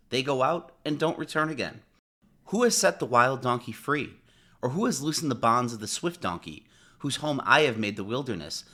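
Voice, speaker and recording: A man giving a dramatic scripted narration of the Bible, in a solemn, declamatory manner with measured phrasing. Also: mid-range voice, pushed and bright.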